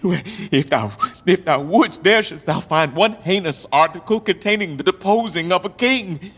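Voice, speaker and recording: A man acting a deposed English king in Shakespearean verse, grieving and bitterly ironic, with a theatrical, deliberate delivery. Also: high-pitched, expressive, breathy edge, full character voice. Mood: wounded outrage. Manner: theatrical, deliberate, swinging from hushed to declaiming. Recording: long reverberant hall.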